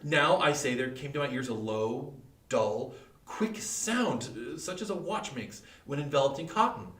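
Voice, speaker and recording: A man's voice: mid-range voice, lively intonation. Man acting a wildly swinging, nervous gothic madman. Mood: hushed, intense. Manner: theatrical, halting, swinging from hushed to frantic. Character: nervous, gloating madman confessing a murder. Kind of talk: dramatic reading of Victorian gothic horror. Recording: roomy, echoing, distant-mic livestream.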